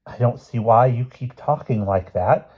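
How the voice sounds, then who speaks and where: A man's voice: medium pitch, moderate pace, husky, phone-quality sound, voice pushed up, close to an everyday voice. A man voicing a rude, skeptical girl in a storybook, blunt and scornful.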